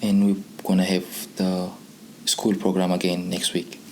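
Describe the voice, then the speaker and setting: A man's voice: sits low, monotone, unhurried, noisy background. A man in his twenties from Pakistan, a non-native English speaker, telling his own story casually. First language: not English. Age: 20s. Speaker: youth programme participant. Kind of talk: casual personal testimony.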